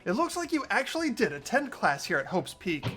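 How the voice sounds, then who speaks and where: A woman's voice: medium pitch, very animated, brisk, fairly bright tone, faint music behind. A woman playing an earnest, thoughtful young student investigator in a fan-voiced game. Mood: pensive. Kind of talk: fan dub of a visual novel game.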